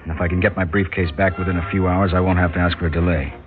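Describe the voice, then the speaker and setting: A man's voice: low-pitched, level tone, racing, natural voice. A man acting a wry diplomat musing to himself in an old radio drama. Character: wry male diplomat who lost his briefcase. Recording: tinny old radio recording, faint music.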